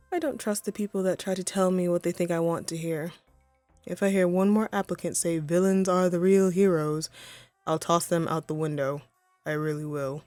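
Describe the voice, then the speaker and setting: Woman doing a haughty lady supervillain for audio roleplay, soft mellow voice, wry and poised, bit of music behind. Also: medium pitch, no echo, natural voice. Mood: businesslike, curious, then dry, amused. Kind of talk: scripted one-sided audio roleplay.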